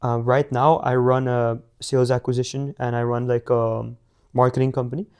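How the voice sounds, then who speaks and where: Give me a teenage man from India, rambling and flat-voiced, full of fillers. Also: medium pitch, moderate pace, smooth. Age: teens. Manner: rambling, many fillers and restarts. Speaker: guest, young business founder. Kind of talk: casual interview answers.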